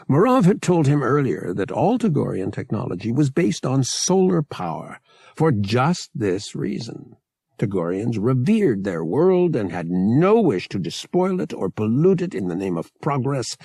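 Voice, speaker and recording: Male sci-fi audiobook narrator, creaky and animated in pitch, measured storytelling, very dry close sound. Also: dry recording. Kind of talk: scripted narration of a science fiction novel.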